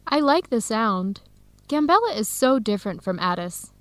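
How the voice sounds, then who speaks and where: An actress with an American accent playing an enthusiastic, curious visiting woman scholar in an educational radio drama, with clear, simple phrasing. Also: a little high, sing-song, moderate pace, fairly bright tone, no room sound. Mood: curious, fascinated.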